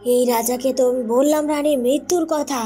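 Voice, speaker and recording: A woman acts out a deceitful villainess in a cartoon palace tale. She is sly and plotting in her delivery, with music playing softly beneath. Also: high voice, steady tone, moderate pace, lightly acted. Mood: sly, menacing. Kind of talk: dramatized cartoon villain dialogue.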